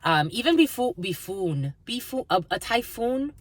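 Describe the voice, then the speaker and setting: A woman's voice: fairly high pitch, big pitch swings, normal speed, dry recording. A woman from the northeastern United States giving a casual live tarot reading, rambling with many fillers and talking fondly to her viewers. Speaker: tarot and energy reader.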